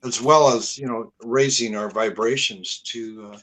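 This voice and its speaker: A man's voice: mid-range voice, steady tone, measured pace, a bit bright, rough recording. A man as a guest in a casual spiritual conversation on a video call, talking earnestly and hesitantly with fillers.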